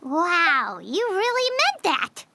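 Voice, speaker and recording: A woman voicing a tiny, excitable childlike companion in a fantasy game, squeaky and bubbly. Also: slow, noisy background, exaggerated voice. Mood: excited. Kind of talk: cartoonish game voice acting. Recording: long reverb, loud music behind.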